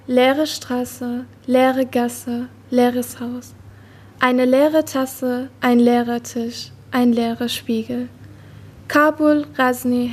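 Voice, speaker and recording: A young woman from Afghanistan recites her own poem in a measured, earnest way. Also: fairly high pitch. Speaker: student poet.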